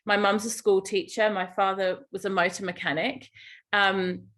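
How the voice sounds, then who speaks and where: A woman's voice: medium pitch, little pitch movement, normal speed, fairly bright tone. A middle-aged woman with an English accent, telling personal stories as a guest in a conversational podcast interview. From England. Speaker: guest, yoga teacher and Ayurveda guide.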